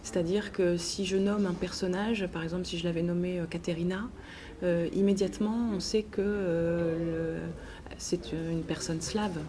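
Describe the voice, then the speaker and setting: A woman answering interview questions in a hesitant, halting way with frequent pauses. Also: lowish voice, fairly even intonation, warm tone. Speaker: interviewed author and teacher. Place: book festival. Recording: noisy festival ambience. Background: clear ambient noise.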